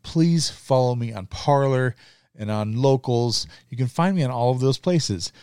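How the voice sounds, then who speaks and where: Man, middle-aged, podcast host, earnest casual monologue, lots of ums, average pitch, dry recording. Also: a little slow, warm tone, clean tone, no room sound. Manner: earnest, conversational, with frequent ums and restarts.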